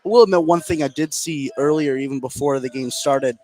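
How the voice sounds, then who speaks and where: A man's voice: medium pitch, brisk, warm tone. A man giving casual analysis as color commentator on a live basketball radio broadcast. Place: indoor basketball gym, courtside.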